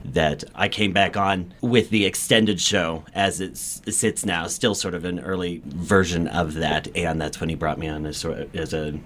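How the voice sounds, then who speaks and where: A man's voice: low-pitched, steady tone, normal speed, hardly any pauses, a bit bright. A man chatting casually as an interview guest, often rephrasing as he goes. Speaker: co-director and actor, interview guest.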